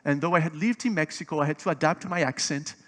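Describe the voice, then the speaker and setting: Guy giving a seminar talk, bit high pitched, gets loud and emphatic, asks the crowd stuff. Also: quick pace. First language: Portuguese. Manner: emphatic, swelling louder on key points; asks the audience questions. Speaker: seminar speaker. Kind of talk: conference seminar teaching.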